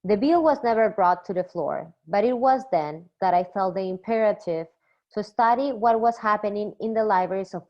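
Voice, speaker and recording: A young woman from Peru, a doctoral student, reading a measured academic poster presentation from a script. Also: medium pitch. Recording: slightly dull lo-fi recording.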